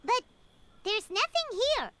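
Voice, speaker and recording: A woman voicing a small, excitable and timid childlike game companion with a squeaky voice. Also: measured pace, a little hiss or hum, full character voice. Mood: curious, uneasy. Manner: refers to self by name in third person. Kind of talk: English game voice acting.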